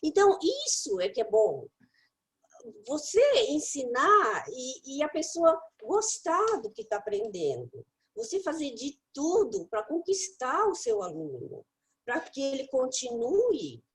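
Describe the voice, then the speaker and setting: A woman's voice: high-pitched, wildly swooping pitch, unhurried. A middle-aged woman with a Brazilian Portuguese accent, a guest English teacher telling her stories emphatically in casual conversation. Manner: emphatic, storytelling.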